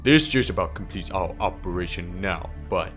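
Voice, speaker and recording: A man acts out a sinister priest with a low-pitched voice, formal and unhurried in manner. He is politely menacing and smoothly condescending, in the style of a dramatic game dub, with music playing underneath. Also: a bit bright. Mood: coldly menacing. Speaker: amateur voice impressionist. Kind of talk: dramatic fan dub character acting.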